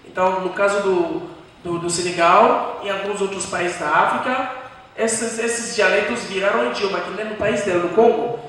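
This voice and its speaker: A man hosting a post-screening discussion, explaining things at an unhurried pace and pausing to gather his thoughts. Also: high voice, fairly even intonation, a bit bright. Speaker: panel host. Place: screening room, large hall. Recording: echoey hall, distant, faint noise.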